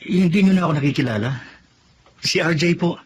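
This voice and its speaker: A man's voice: fairly high pitch, lively intonation, quick pace, a little hiss or hum, close to an everyday voice. A man playing a humble, grateful young Filipino working man in a radio drama, respectful and a bit hesitant. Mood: respectful, hopeful.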